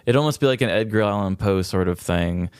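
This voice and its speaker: A man co-hosting a comedy podcast, bantering casually with low-key, wry humor. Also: fairly quick, smooth, dry recording, clean studio sound. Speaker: podcast co-host.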